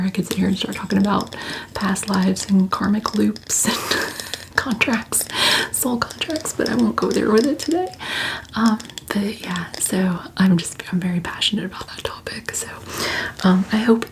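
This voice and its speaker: A woman's voice: medium pitch, vocal fry, a little hiss or hum, low-quality recording. A woman whispering through a chatty ASMR question-and-answer video, full of "like" and "um" fillers. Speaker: ASMR creator.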